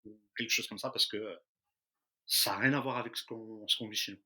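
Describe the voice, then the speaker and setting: A man's voice: lowish voice, fairly even intonation, a little fast, sharp tone. A man in his thirties from the Lyon region of France, answering interview questions in an easygoing, casual way with frequent hesitations. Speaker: interview guest, expatriate in Japan. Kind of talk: casual conversation.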